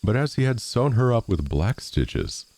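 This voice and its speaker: A man narrates a storybook tale with a lively, swinging intonation. His voice is mellow and a little gravelly. He reads expressively, as a storyteller does. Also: mid-range voice, a little slow. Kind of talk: scripted fairy tale narration.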